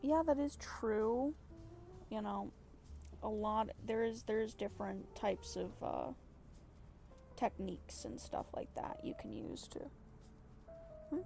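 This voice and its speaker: A woman's voice: medium pitch, slow pace, gravelly, pauses often, warm tone, soft background music. An American woman streaming and talking casually about voice acting, rambling and playful, often correcting herself. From United States. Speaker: streamer and voice actor. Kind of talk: casual livestream chat.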